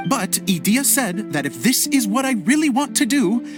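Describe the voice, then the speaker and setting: A man's voice: very high voice, very animated, moderate pace, music in the background. An actor playing an earnest, humble, slightly flustered young male theater director in a video game, speaking expressively with some hesitation. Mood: hesitant, explaining. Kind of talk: animated video game acting.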